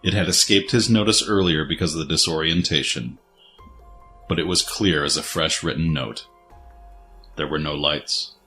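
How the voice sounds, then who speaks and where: A man reading scripted story narration in a serious, measured, dramatic manner. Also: rumbling low, pushed and bright. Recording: music under the voice.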